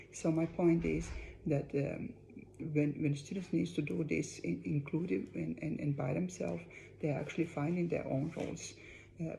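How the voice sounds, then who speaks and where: A man speaks in a very gravelly, creaky voice over faint room noise. He is a teacher presenting off the cuff, feeling his way through with halting, repeated phrases. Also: fairly high pitch, darkish tone, poor audio. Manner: halting, repetitive phrasing in non-native English. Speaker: teacher presenting student work.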